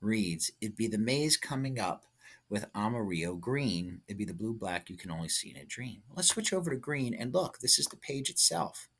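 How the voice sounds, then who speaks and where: A male teacher with a low-pitched, rather raspy voice talks through an instructional example. He thinks aloud step by step and coaches the listener, checking in with short tag questions. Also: very animated, moderate pace, a bit bright.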